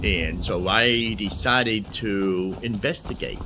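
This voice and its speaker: A man's voice: lowish voice, slow pace, heavy background noise. A man giving casual, rambling gameplay commentary as a streamer, often repeating his phrases. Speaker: gaming streamer. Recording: music behind the voice.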